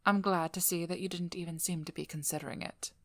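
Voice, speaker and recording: Casting: actress for a cunning female vampire hunter in intimate ASMR audio drama; lowish, breathy, playfully domineering, very dry recording. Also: steady tone, fast, fairly bright tone, no echo, lightly acted. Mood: cool, probing. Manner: teasing, playful, coolly controlling. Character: devious, vigilant female vampire hunter, flirty then businesslike. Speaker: audio roleplay performer. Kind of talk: scripted ASMR roleplay, addressing the listener.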